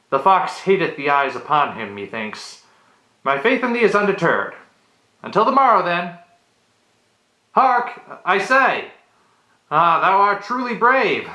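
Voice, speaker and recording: A man's voice: fairly high pitch, pushed and bright, roomy sound, poor audio. A man playing a nervous medieval tavern steward, speaking in mock-Shakespearean monologue with hesitant ums and theatrical, falsely reassuring archaic diction. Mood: brisk, cautioning, then nervously encouraging.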